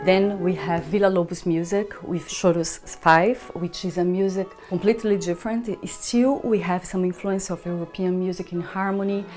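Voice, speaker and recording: Woman conductor, Italian-Brazilian accent, low-pitched, reflective interview delivery, faint music behind. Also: steady tone, moderate pace, few pauses, darkish tone. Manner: thoughtful, searching for words, hums rhythms. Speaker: orchestra conductor. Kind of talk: promotional interview talk.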